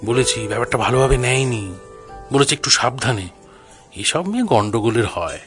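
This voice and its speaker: A man's voice: mid-range voice, lively intonation, moderate pace, a bit bright, soft background music, lightly acted. A man playing a sheepish but cheekily persistent young suitor, coaxing and wry, in a romantic comedy audio drama.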